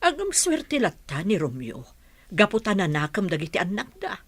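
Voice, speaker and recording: A woman's voice: medium pitch, huge pitch swings, normal speed, no room sound. A woman playing a sociable village aunt and mother in a radio drama. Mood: sympathetic. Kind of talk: Ilocano radio drama acting.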